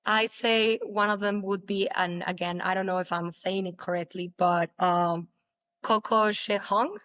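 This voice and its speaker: A young woman whose native language is Spanish, answering interview questions casually, with many halting restarts. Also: mid-range voice, steady tone, a bit bright, dry recording, lo-fi sound. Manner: halting, repeats words, laughs often. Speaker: guest, pole dancer.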